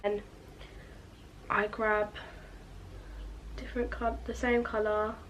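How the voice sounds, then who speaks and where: A chatty teenage girl talks through her makeup routine in a loose, rambling way, filling gaps with "like" and "um". Her voice still carries a drowsy, just-woken softness. A faint hiss sits under the recording. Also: medium pitch, rough recording. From England. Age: teens. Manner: chatty, rambling, filler-heavy with frequent "like". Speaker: beauty vlogger. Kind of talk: casual get-ready-with-me chat.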